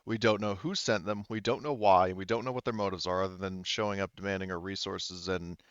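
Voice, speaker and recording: A man voicing a grave sheriff giving a formal, measured speech to colonists in a tabletop game. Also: low-pitched, steady tone, clear, resonant tone, no room sound, dull audio, close to an everyday voice. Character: formal sheriff addressing new space colonists, male, grave.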